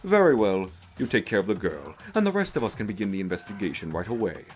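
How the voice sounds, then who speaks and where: A man's voice: lowish voice, very animated, fast, a little mellow, breathy edge, faint music behind. A man playing a haughty, commanding teenage boy in an anime game fan dub, imperious and curt. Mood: cold impatience.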